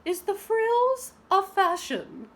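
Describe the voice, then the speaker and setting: A woman voicing a prim, scolding woman who directs a princess camp, with haughty, drawn-out theatrical pronouncements in a children's storybook. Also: extremely high pitch, huge pitch swings, pitched above the performer's own voice, exaggerated voice. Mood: grand, instructing. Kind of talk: children's storybook read-aloud.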